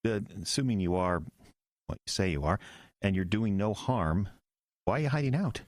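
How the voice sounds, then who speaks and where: An actor playing an earnest, skeptical young pastor with a hesitant, halting way of talking in an audio drama. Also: male voice, fairly low pitch, animated, a little mellow, dry recording, studio quality, lightly acted. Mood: puzzled.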